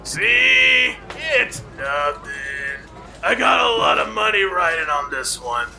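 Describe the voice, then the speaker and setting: An actor playing a brash, impatient, bossy gambler in a 1950s radio drama. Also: male voice, high-pitched, very animated, takes it slowly, gravelly, bright, forceful tone, soft background music, heavily acted. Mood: irritated. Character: bossy, impatient, rough-talking 1950s male bowling gambler.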